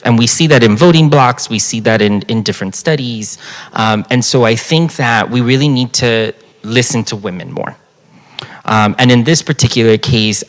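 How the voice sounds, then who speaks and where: Guy on a panel, fairly low voice, earnest, says um a lot. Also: a bit bright. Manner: earnest, frequent ums. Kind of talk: panel discussion.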